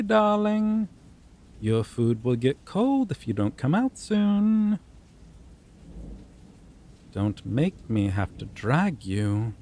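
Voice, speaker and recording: A man's voice: mid-range voice, dark tone. A man playing a possessive, coldly polite vampire captor in an ASMR roleplay, speaking formally and theatrically with menacing affection. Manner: slow, formal, theatrical, endearments laced with threat. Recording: rain and thunderstorm noise behind. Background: rain and thunder.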